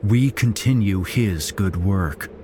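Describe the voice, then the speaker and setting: A man's voice: on the low side, slow, a little mellow, a little hiss or hum. A man voicing a smug young cultist. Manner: smug, self-righteous. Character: smug devious young male cultist, former friend.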